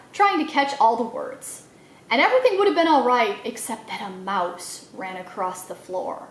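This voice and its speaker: A woman reading a children's novel aloud in an expressive storytelling style, acting out the dialogue as she goes. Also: fairly high pitch, echoey room. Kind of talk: animated read-aloud narration for children.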